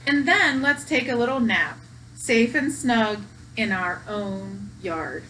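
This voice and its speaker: A woman's voice: fairly high pitch, takes it slowly, bright, forceful tone, a little hiss or hum, rough recording. A woman voicing a caring, protective mother of pet dogs, firm yet soothing, in a children's storybook.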